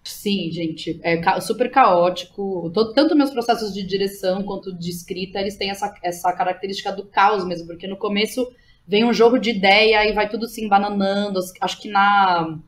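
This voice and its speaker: A woman with a Brazilian accent talks as a guest in a casual interview. Her pitch sits in the middle range. She speaks informally, with plenty of slang and small tags that check the listener follows. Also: moderate pace. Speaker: interview guest, playwright, director and drama teacher. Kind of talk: casual, animated interview talk.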